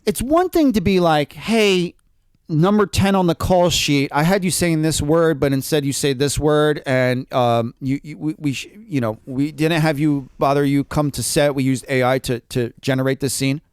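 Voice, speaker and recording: A man's voice: on the high side, moderate pace, clean tone, dry recording. A man co-hosting a podcast, talking casually and animatedly, often acting out quoted lines. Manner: animated, quotes people in acted asides. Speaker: podcast co-host.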